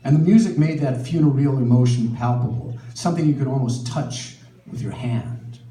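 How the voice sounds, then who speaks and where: A man's voice: medium pitch, moderate pace, warm tone. Echoey middle-aged American man reading aloud. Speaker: author reading from own book. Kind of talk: live literary reading of a personal memoir. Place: live event in a gallery. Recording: echoey live room, distant mic, faint noise.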